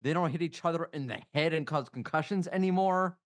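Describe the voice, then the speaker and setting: A man on a podcast as a regular co-host, teasing the others in a dry, deadpan way. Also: on the high side, brisk. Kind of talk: casual podcast banter.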